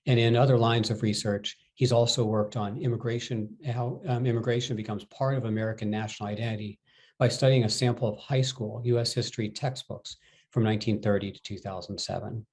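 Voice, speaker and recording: A man in a measured way introducing speakers and moderating a webinar over a video call. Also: fairly low pitch, fairly even intonation, a little mellow. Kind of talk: formal introduction.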